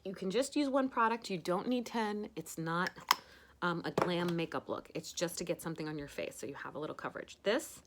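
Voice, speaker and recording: A woman's voice: lowish voice, fairly quick, slight background noise. A woman hosting a casual at-home makeup tutorial, chatty and rambling with many fillers.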